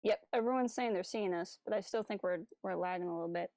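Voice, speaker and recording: A woman's voice: medium pitch, fast talker, darkish tone, dry recording. A woman co-hosting a podcast, talking in a casual, conversational way. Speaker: podcast co-host. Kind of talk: casual chat.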